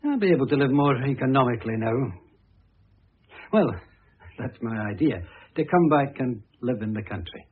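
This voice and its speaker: An actor playing a self-important, self-pitying middle-aged divorced husband, pompous and long-suffering, in radio drama style. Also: male voice, mid-range voice, expressive, moderate pace, pauses often, slightly rough. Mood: complacent. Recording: muffled lo-fi old radio recording.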